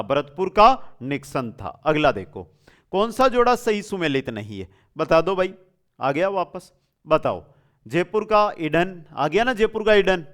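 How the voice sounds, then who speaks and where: A man's voice: high-pitched, clear, resonant tone. A man teaching an exam lesson, posing questions, repeating the answers and addressing students familiarly. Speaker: online exam coaching teacher. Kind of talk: quiz-style lesson, asking questions and giving answers.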